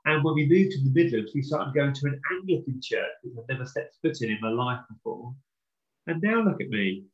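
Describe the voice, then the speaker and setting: A man's voice: mid-range voice, lively intonation, brisk, lo-fi sound. A man with a stuffy, slightly blocked nose chats easily as a podcast co-host. He is self-deprecating and playful. The room around him sounds roomy and echoing. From Norfolk, England. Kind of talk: casual live podcast chat.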